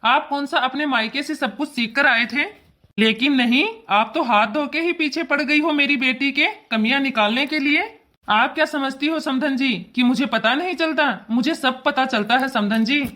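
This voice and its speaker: A woman's voice: sits high, moderate pace, bright, forceful tone. A woman voicing an indignant, protective father who lectures emphatically in a cartoon family drama. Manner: emphatic, lecturing, repetitive scolding.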